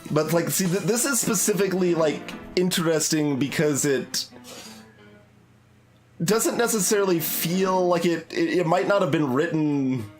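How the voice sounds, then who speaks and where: Male game streamer, lighter higher voice, hesitant analytical commentary over music. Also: measured pace. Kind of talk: casual playthrough commentary, thinking aloud. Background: background game music.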